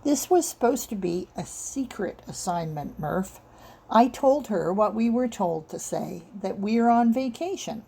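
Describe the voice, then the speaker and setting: A woman's voice: medium pitch, expressive, unhurried, creaky, dark tone, husky, exaggerated voice. A woman voicing a lanky, grumbling male police detective with a casual, blunt, slangy manner. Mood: aggrieved.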